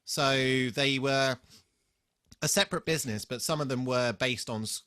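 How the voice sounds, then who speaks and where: A man co-hosting a podcast in casual chat, telling personal anecdotes in a sweary, halting, self-deprecating way. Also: medium pitch, pushed and bright, clean tone. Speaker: podcast co-host.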